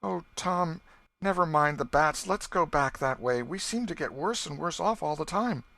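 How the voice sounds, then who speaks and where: A man's voice: fairly high pitch, expressive, moderate pace, gravelly, pushed and bright, voice pushed up, heavily acted. A man voicing an anxious young girl in a storybook, timid and pleading.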